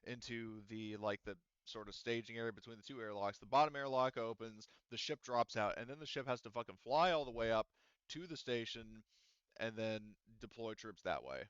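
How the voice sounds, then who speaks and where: A man's voice: mid-range voice, moderate pace, clean tone, no room sound, highs cut off. A man running a tabletop game as game master, talking casually with fillers and casual swearing.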